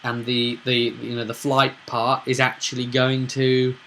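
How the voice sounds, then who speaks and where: Male game master for a casual explanatory vlog: forceful, bright delivery, emphatic and rambling, slight noise behind the voice. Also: medium pitch, monotone, a little slow. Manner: rambling, emphatic, asks rhetorical questions. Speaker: game master recapping a tabletop roleplay session.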